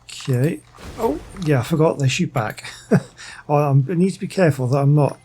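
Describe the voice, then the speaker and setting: A man's voice: fairly high pitch, lively intonation, moderate pace, dark tone, slight background noise. A man giving casual gameplay commentary, muttering to himself as he plays. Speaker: gaming video host.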